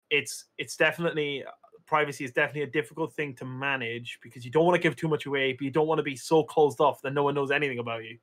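Welsh man, bright clear voice, rambling casual panel talk, lots of fillers. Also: medium pitch. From Wales. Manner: rambling, vague, many fillers. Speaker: streamer on a panel.

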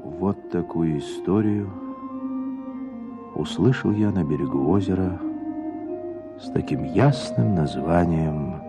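An actor playing a thoughtful, quietly inquiring narrator in a radio drama. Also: male voice, sits low, takes it slowly, pauses often, loud music behind the voice. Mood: pensive. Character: thoughtful listener-narrator questioning a storyteller.

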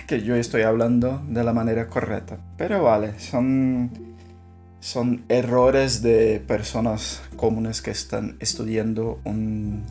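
Brazilian learner talking slow and halting, lots of pauses, bit of faint music behind. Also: mid-range voice. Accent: Brazilian Portuguese. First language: Brazilian Portuguese. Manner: halting, searching for words, with soft laughs. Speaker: language learner. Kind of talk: casual spoken practice monologue.

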